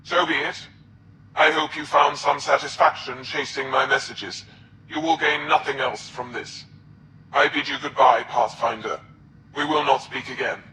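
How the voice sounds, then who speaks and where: An actor playing a proud, calculating alien military commander in a video game, speaking formally and with measured authority. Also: male voice, medium pitch, fairly even intonation, background noise, natural voice. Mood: cold, final. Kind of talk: video game character acting.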